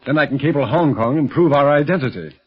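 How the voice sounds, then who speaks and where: An authoritative American agent in charge, played by a man, short on patience and dryly sarcastic. His medium-pitched voice is rough-edged, and it comes through a muffled 1930s radio drama recording. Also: fast talker, lightly acted. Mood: concerned. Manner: exasperated, authoritative, sardonic. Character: stern, irritable adult secret police leader. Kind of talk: 1930s radio serial acting.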